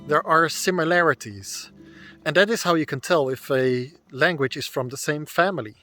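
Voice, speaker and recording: A man's voice: on the high side, moderate pace, bright, forceful tone, soft background music. A man in his thirties from the Netherlands with a Dutch accent, talking casually to camera outdoors in the woods and explaining his thoughts as he goes. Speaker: vlogger.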